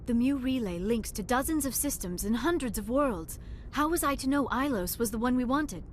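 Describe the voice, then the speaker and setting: A woman's voice: a little high, lively intonation, normal speed, a little hiss or hum, natural voice. A woman playing an earnest, scholarly alien researcher in a video game, with formal, precise speech. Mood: urgent.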